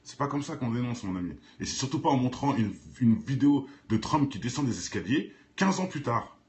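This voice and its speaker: A man's voice: medium pitch, lively intonation, fairly quick, a little mellow. A man hosting an informal, off-the-cuff reaction video in an opinionated, argumentative, colloquial way. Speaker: video host, commentator.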